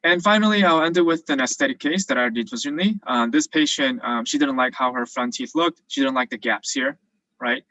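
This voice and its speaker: A man's voice: medium pitch, fairly quick, pushed and bright, dry recording, poor audio. A young man who grew up in South Korea and is now a dental student, explaining cases casually with lots of fillers over a video call. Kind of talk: virtual presentation and Q&A.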